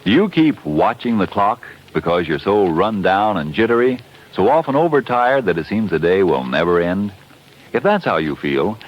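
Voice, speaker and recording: A man working as a radio announcer, reading formally in a measured 1940s broadcast style. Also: fairly low pitch. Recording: dull lo-fi 1940s radio recording.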